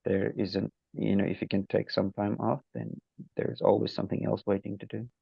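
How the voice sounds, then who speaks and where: A man from Nepal talking on a video call, his voice low, gravelly and husky, in a flat tone. He speaks hesitantly with fillers. The audio is dry and muffled. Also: normal speed, dry recording. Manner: hesitant, fillers, soft laughs. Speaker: student. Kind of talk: casual chat with teacher.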